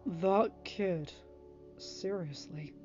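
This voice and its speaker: A woman acts out an affectionate fatherly man in an audio story. He talks casually, reassuring and gently teasing. The sound is a little muffled, with music behind. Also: on the low side, drawn-out words. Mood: tender, casual.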